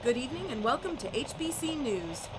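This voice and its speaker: An actress playing a polished TV news anchor who reads the top story in a crisp newsreader voice. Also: female voice, high voice, big pitch swings, fairly quick, noisy background.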